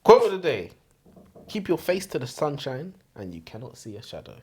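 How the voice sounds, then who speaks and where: A man's voice: medium pitch, wildly swooping pitch, normal speed, darkish tone, slightly rough. A man co-hosting a book show in casual banter, teasing and emphatic, often repeating himself for effect. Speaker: co-host of a book review show.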